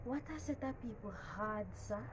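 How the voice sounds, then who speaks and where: A woman's voice: medium pitch, normal speed, natural voice. A woman voicing a hungry, grumbling male trickster spider in a storybook tale, plaintive and exclaiming in Jamaican patois. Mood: aggrieved. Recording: background noise.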